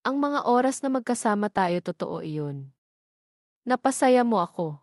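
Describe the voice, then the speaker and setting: A woman's voice: fairly high pitch, expressive, a little slow, darkish tone, no echo, studio quality. A woman narrator voicing a proud, wounded young heiress in an audio love drama, restrained and resolute. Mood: restrained sorrow.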